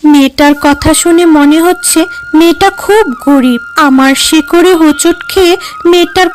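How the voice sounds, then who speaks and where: A woman voicing a kindly, helpful magic tree in an expressive, sympathetic way for a cartoon. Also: very high voice. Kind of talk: cartoon story voice acting.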